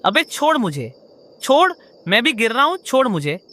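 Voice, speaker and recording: Grumpy cartoon bird crook played by a woman; bright, highish, forceful. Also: sing-song, normal speed. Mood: angry, panicked. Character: grumpy male bird thief, jealous, later remorseful. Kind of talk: Hindi cartoon voice acting.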